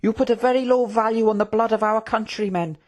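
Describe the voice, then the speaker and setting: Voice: medium pitch, steady tone, quick pace, pitched above the performer's own voice, natural voice. A narrator voicing an earnest, principled young prince in a fantasy audiobook, speaking formally with pleading indignation. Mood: indignant.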